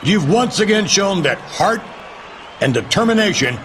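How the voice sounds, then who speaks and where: An actor voicing an older male wrestling chairman in a video game cutscene, announcing the winner in a booming, commanding way. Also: very animated, normal speed, background noise. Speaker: company chairman presenting the winner.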